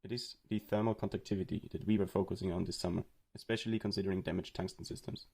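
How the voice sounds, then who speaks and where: A young man from Austria giving a read-aloud presentation of a student research project in a steady explanatory way. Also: deep voice, fast talker, gravelly, slightly rough.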